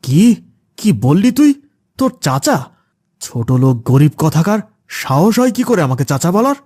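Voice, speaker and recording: Man playing an arrogant rich master, dark voice. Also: a little high, sing-song, moderate pace. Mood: outraged. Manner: haughty, scolding, bossy. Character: arrogant, scornful rich male household master. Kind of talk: cartoon voice acting.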